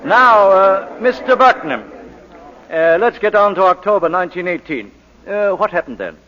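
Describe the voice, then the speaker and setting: An actor playing a brisk, theatrical prosecuting barrister questioning a witness in a 1940s radio drama. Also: male voice, sits high, lively intonation, pushed and bright, slight background noise. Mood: brisk, pointed. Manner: pointed, prompting, theatrical. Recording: muffled old 1940s radio recording.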